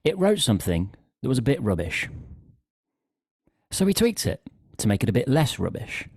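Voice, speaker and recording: A man's voice: mid-range voice, big pitch swings, moderate pace, a little mellow, breathy edge, no room sound, studio quality. A man narrating a scripted comic story.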